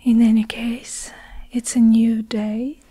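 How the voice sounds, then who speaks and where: A young woman narrating a story in a hushed ASMR whisper, deliberately and with long pauses. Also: on the high side, level tone, breathy edge. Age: young adult.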